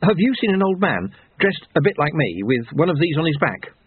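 A man's voice: on the high side, big pitch swings, brisk, breathy edge, dry recording, pitched above the performer's own voice, heavily acted. A man voicing a flustered, naive young male apprentice in an audiobook, hesitant and urgent but polite. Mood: puzzled, earnest. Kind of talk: audiobook character voice. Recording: narrow tinny phone-like sound.

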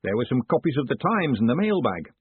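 A small, bird-like town mayor from a storybook, played by a male narrator, sounds eager and nervous, with folksy, repetitive turns of phrase. His pitch jumps about and the voice is husky. The sound is narrow, like a phone line. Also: sing-song, fairly quick, no echo. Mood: chummy, admiring. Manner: chatty, repetitive folksy phrasing with tag endings. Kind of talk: audiobook character dialogue. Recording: muffled, thin phone-like sound.

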